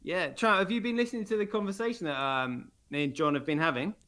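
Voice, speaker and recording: A man's voice: high voice, steady tone, quick pace, bright, forceful tone. A man co-hosting a livestream, rambling with many fillers and restarts in casual chat. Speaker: livestream co-host.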